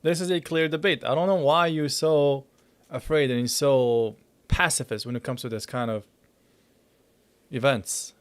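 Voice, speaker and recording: A man's voice: medium pitch, steady tone, unhurried, smooth. A man hosting a live reaction stream, cutting in with blunt, argumentative casual commentary.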